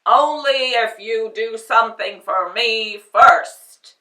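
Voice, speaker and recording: A woman voicing a stern giant floating male head that speaks loudly and slowly. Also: fairly high pitch, far from the mic, pitched above the performer's own voice, exaggerated voice. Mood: booming. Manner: loud, slow, commanding. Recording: echoey room, voice off-mic.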